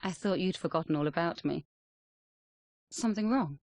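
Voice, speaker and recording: A woman's voice: on the low side, moderate pace, a little mellow, no echo, close to an everyday voice. A woman playing a secret agent's lover in a video game cutscene, intimate and questioning. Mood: let down.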